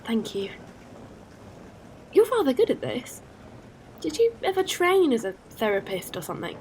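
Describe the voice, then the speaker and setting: A woman playing a caring, earnest space traveler in an audio drama, speaking with gentle hesitancy. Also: sits high, wildly swooping pitch, normal speed, slight background noise, poor audio. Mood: warm curiosity.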